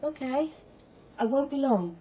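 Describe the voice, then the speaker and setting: A woman's voice: high-pitched, expressive, a little slow, lo-fi sound. An actress playing an earnest, soothing woman comforting the bereaved in a radio comedy drama.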